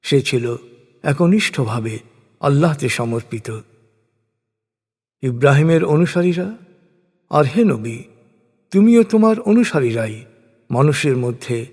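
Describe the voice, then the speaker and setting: A man narrating translated scripture as solemn scripted prose, formal and declamatory, in measured short phrases. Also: mid-range voice, a little mellow, husky. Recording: long hall-like reverb.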